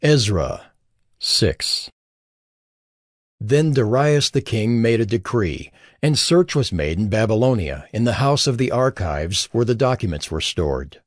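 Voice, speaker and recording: Expressive male scripture narrator. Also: fairly low pitch, measured pace, no room sound, studio quality.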